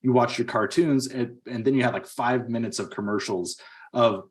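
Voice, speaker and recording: A man's voice: medium pitch, moderate pace. A middle-aged man giving his opinions in a casual, rambling movie podcast chat. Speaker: podcast host.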